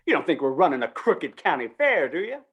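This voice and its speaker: A woman's voice: low-pitched, sing-song, brisk, lo-fi sound, heavy background noise. A woman playing a slippery, wheedling male small-town fair organizer in an old-time western radio drama, coaxing and folksy. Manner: coaxing, folksy, falsely reassuring.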